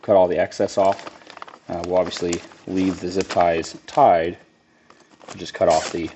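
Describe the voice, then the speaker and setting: Low-voiced man getting over a cold, raspy, talks plainly and thinks out loud, clear noise in the background. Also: a little slow. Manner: plain-spoken, relaxed, thinks aloud with restarts. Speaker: lawn care business owner demonstrating a DIY build. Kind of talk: casual how-to narration while working.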